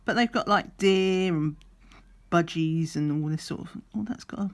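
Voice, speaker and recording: A middle-aged woman, slightly croaky, chatting in a rambling way while doing a craft, sometimes counting under her breath. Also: lowish voice, normal speed. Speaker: crafting vlogger. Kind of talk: relaxed chatty craft vlog. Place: at a craft table indoors.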